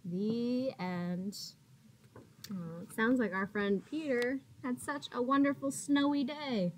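A woman in her thirties, a teacher reading a picture book aloud to young children in a deliberate, expressive way with drawn-out words. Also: a little high, slight background noise. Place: small indoor classroom. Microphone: laptop or webcam at arm's length.

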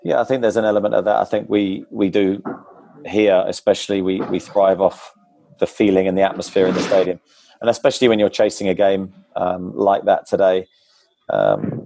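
A low, gravelly man's voice with a flat, even delivery and a mellow, dark tone. He answers press questions in a measured, hesitant way, full of ums and restarts. He speaks through an earphone mic on a video call, and the sound is dry and close. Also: no room sound. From England. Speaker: football manager. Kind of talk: post-match press conference answers. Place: club press room before a sponsor board. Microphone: wired earphone headset on a video call.

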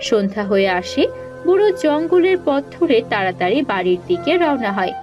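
A woman's voice: a little high, very animated, a little fast, dull audio. A woman reading scripted narration for a story. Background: prominent music.

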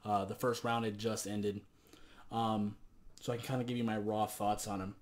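Hoarse young man, low voice. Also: little pitch movement, normal speed. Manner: loose and rambling, many fillers. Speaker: sports vlogger. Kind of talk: casual football commentary. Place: small bedroom, indoors.

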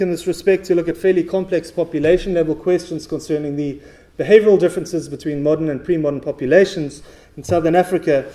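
Mellow-voiced man lecturing from a podium. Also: a little high. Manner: measured lecturing with frequent uh fillers. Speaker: researcher presenting at a talk. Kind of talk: conference presentation. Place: stage of a large dark auditorium.

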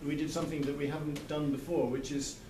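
A man's voice: on the high side, little pitch movement, fast, mellow. A man in his sixties speaking thoughtfully and hesitantly as a literary translator in an informal group discussion. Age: 60s. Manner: thoughtful, hesitant, searching for words. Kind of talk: informal discussion at a book club. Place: large indoor hall, small group seated in circle. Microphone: camera a few metres away. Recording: echoey, distant camera mic, background noise.

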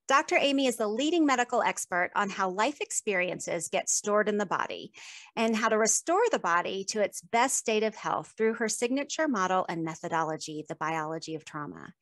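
A middle-aged woman hosting a podcast, reading a measured formal introduction of her guest over a video call. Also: medium pitch, a bit bright, dry recording. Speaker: podcast host.

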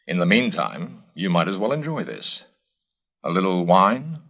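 A man's voice: low voice, very animated, unhurried, creaky, fairly bright tone, full character voice. A man reading an audiobook, voicing a scheming, coolly sinister male host with polite, sly, controlled delivery. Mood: sly courtesy.

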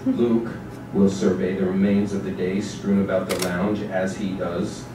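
A man narrating a literary text in a staged dramatic reading, with a measured, deliberate delivery. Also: deep voice, flat delivery. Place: small theatre stage with seated audience. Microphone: distant camera microphone. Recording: echoey stage recording from far off, noisy.

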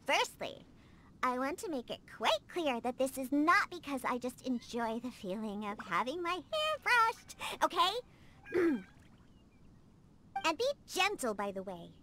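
A woman's voice: high-pitched, big pitch swings, a little slow, pushed and bright, slight background noise, heavily acted. A woman playing a proud, prickly young cat-girl bartender in a video game, huffy and haughty, hesitant when she wants something. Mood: shy, defensive. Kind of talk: English video game voice acting.

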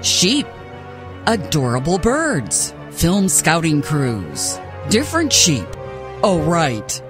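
An actor playing a bombastic, dryly funny reality show announcer in a video game. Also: male voice, on the high side, wildly swooping pitch, slow pace, full character voice. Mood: hyped, mock-dramatic. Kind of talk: showy television announcing. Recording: music playing behind the voice.

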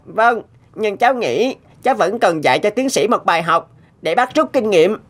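A woman's voice: mid-range voice, lively intonation, moderate pace, close to an everyday voice. A woman voicing an earnest, outspoken young boy in a cartoon, polite but reproachful. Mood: earnest, instructive. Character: earnest, outspoken nine-year-old boy. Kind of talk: animated moral tale dubbing.

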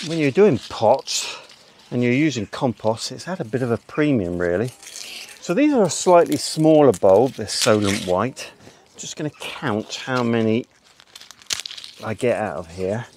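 Older male gardener, slow-paced and chatty, recorded outdoors on a distant camera mic. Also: medium pitch, darkish tone, slight background noise. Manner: chatty, explains as he works. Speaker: allotment gardener. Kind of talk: casual gardening vlog. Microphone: camera mic on a tripod at a distance.

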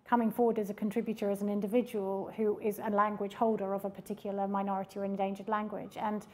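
Woman speaker at a small public talk, reflective and halting, even and mellow delivery. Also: mid-range voice. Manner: reflective, with many fillers and restarts. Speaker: project presenter at a panel talk. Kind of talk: public Q&A discussion. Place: mid-sized indoor event room with audience.

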